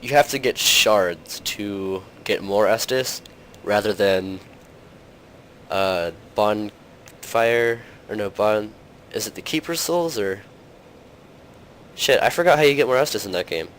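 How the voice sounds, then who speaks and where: Low-voiced young man with a cold. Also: takes it slowly, fairly bright tone, a little hiss or hum. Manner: rambling, heavy on fillers like "like" and "um". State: slightly hoarse from a cold. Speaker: host of a gaming channel. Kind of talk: casual gameplay commentary.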